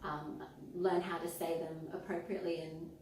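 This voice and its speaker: A woman's voice: fairly low pitch, little pitch movement, moderate pace, a little mellow. A woman with a Persian Australian accent, a pharmacist and author, earnestly explaining her work in an interview with many ums. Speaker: guest, children's book author and pharmacist. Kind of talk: interview answers. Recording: very echoey room, distant mic, faint noise.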